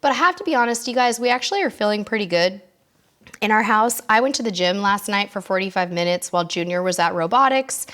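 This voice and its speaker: A middle-aged woman talking casually to her viewers on a live stream from a desk microphone. Her voice sounds hoarse and scratchy, as if she is losing it, and she keeps clearing her throat. She stays chatty and friendly in a mid-range voice. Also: medium pitch, animated, fast. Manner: chatty, warm toward viewers, reads out comments. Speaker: cruise show host.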